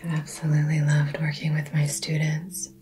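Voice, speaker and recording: A woman softly whispering a soothing ASMR Reiki session, speaking gently and personally to the listener as a healer. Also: sits low, monotone, normal speed, faint music behind. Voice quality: breathy whisper. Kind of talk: soft-spoken ASMR healing session.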